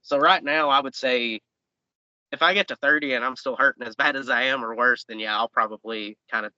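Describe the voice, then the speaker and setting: Young man, late twenties, very bright forceful tone, loose rambling chat; dry dull mic. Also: medium pitch, a little fast, no echo. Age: 20s. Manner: easygoing, rambling with fillers and restarts. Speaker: professional wrestler guest. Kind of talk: casual interview chat.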